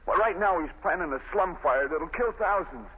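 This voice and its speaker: An actor playing Dan Malley, a tough-talking young convict facing execution, in a 1930s radio drama. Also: male voice, high voice, expressive, normal speed, husky. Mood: desperate. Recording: tinny, hissy 1930s radio recording.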